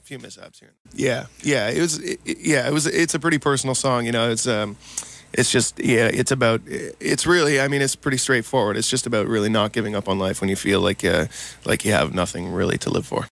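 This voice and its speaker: Male band musician, casual interview, laid-back, creaky voice at a quick pace. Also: medium pitch, a bit bright.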